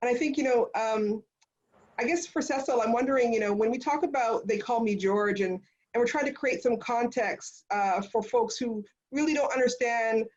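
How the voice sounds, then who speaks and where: A woman's voice: on the high side, normal speed, darkish tone. Woman moderator on dull, lo-fi audio. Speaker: panel moderator. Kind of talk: moderated panel discussion.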